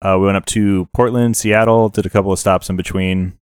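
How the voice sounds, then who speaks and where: A man's voice: low-pitched, fairly even intonation, brisk, clear, resonant tone, dry recording, studio quality. A young man chatting casually and joking in a laid-back way with friends. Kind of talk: casual chat.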